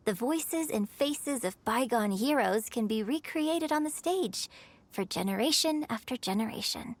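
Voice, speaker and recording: A woman playing a graceful young opera performer in a video game, speaking in a poised, articulate, gently instructive way. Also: on the high side. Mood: earnest, reverent. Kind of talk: scripted video game character dialogue. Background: music.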